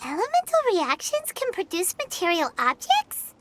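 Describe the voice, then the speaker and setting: A woman voicing a tiny, childlike fairy companion in a video game, squeaky, curious and blunt. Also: wildly swooping pitch, moderate pace, exaggerated voice. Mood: puzzled. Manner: refers to self in third person, blunt. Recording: game music loud behind.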